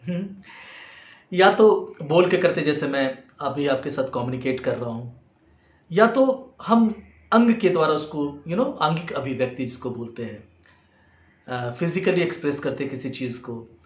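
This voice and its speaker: A man with an Indian accent giving an explanatory lesson in a measured way as a music teacher. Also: fairly high pitch, animated. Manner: measured, demonstrates points with acted example phrases. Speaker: music teacher and video host. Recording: roomy, echoing sound.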